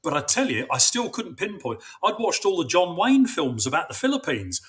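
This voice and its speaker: A man's voice: sits high, big pitch swings, moderate pace. A man from England, a guest on a casual video call, telling stories and stumbling on his starts.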